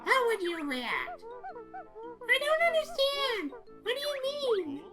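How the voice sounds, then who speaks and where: Innocent mole children, as a man squeaks them out, very high and swooping, questions drawn out slowly and wide-eyed. Loud game music plays under the voice. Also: wildly swooping pitch, exaggerated voice.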